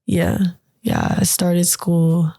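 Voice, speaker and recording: A woman's voice: on the low side, wildly swooping pitch, drawn-out words, gravelly, warm tone. A young American woman from Georgia, a podcast guest telling laid-back stories full of slang and laughs. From United States. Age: young adult. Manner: laughing, loose storytelling with slang. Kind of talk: casual chat.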